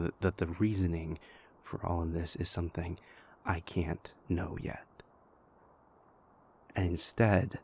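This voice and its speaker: A man playing a wounded, devoted vampire prince in an intimate audio roleplay, speaking haltingly with repeated words in a husky, gravelly voice. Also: low voice, a little mellow, heavily acted.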